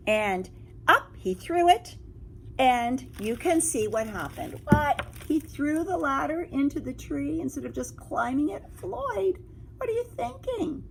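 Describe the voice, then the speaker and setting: Animated woman reading a storybook aloud. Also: high voice, measured pace, creaky, slight background noise, rough recording. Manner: expressive, exclaiming, drawing listeners in with questions. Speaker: teacher reading a picture book to children. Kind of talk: read-aloud storytelling with asides and questions to young listeners.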